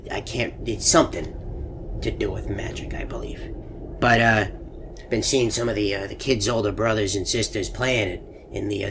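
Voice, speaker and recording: An actor playing a rambling, forgetful older animatronic man in a video game, halting and stammering, with a husky voice. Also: fairly low pitch, fairly bright tone, exaggerated voice. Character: rambling, forgetful older male animatronic puzzled by modern technology. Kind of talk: video game character monologue.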